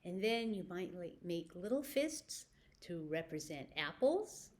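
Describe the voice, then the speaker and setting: A woman's voice: fairly low pitch, sing-song, measured pace, darkish tone. A woman presenting a children's story time, telling stories and rhymes and speaking directly to young listeners with simple prompts.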